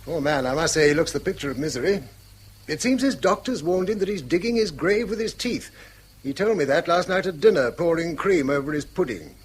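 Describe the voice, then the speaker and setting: A man's voice: on the high side, animated, a little fast, slightly rough. A man playing a wry, needling, unworldly brother in a radio drama. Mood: wry, ironic. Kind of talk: British stage-style radio drama acting.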